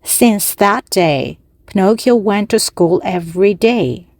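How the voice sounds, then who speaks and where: A woman narrating a children's story slowly and clearly for language learners, with pauses between phrases. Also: mid-range voice, expressive, a little mellow, no room sound. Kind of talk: slow, clear read-aloud for language learners.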